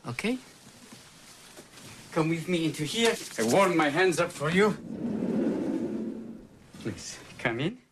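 An actor playing a nervous, easily flustered Russian obstetrician with a Russian accent, speaking broken, muddled English in a comedy film. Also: male voice, fairly high pitch, big pitch swings, slow, pauses often, background noise. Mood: fussily reassuring. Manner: broken English with muddled words and misplaced formality.

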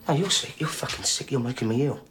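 A man's voice: medium pitch, expressive, fast talker, background noise, natural voice. An actor playing a volatile, menacing middle-aged father in a naturalistic film, aggrieved, repeating himself and swearing. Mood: raging. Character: volatile, menacing father of a troubled teenage son.

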